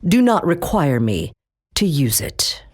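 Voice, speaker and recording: A steely, middle-aged female agency chief for a video game, issuing orders in a cold, clipped, threatening way. Her voice sits low, yet it swings widely between words. Nothing she says leaves room for argument. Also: very animated, slow pace, exaggerated voice. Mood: commanding. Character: stern, commanding female government agency chief.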